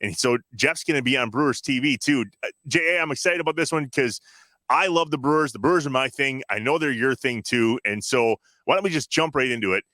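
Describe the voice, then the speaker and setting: Bright, forceful male podcast host. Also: medium pitch, a little fast, dry recording. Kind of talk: casual sports podcast hosting.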